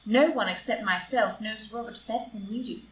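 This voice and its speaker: A woman playing an upright, devoted, morally strict society wife in a live reading of a stage comedy, in a formal, deliberate way. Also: fairly high pitch, close to an everyday voice. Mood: confiding, proud. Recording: roomy, distant, noisy live group recording.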